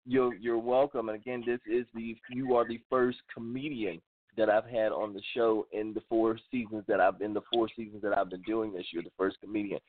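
A man's voice: lowish voice, flat delivery, fairly quick, no echo. Eager man hosting a radio show. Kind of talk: interview hosting. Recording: dry, phone-like sound.

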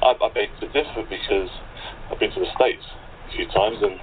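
Low-voiced man on a phone-like line. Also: normal speed. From England. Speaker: cast member being interviewed. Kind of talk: casual group conversation. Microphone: online call. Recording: phone-like call with background noise.

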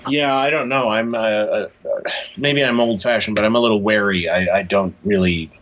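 Low-key man on a dull lo-fi connection. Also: steady tone, normal speed, fairly bright tone. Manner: hesitant, low-key, matter-of-fact. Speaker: interview guest, comics creator. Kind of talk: casual interview answers.

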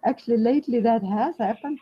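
A woman's voice: on the high side, brisk, slightly rough. A woman from Austria with an Austrian German accent, introducing herself hesitantly in a class, with many restarts. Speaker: class participant. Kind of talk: casual spoken introduction. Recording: muffled lo-fi call line with faint noise.

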